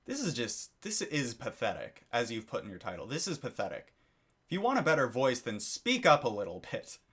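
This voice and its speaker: A man's voice: medium pitch, huge pitch swings, brisk, a bit bright, breathy edge. A man giving a critical commentary monologue for his video channel, lecturing and disapproving, often repeating his phrases. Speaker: YouTube commentator.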